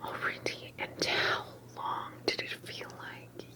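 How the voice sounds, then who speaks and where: A woman speaking entirely in a breathy whisper, performing an ASMR interview roleplay with gentle, hesitant questioning and pauses.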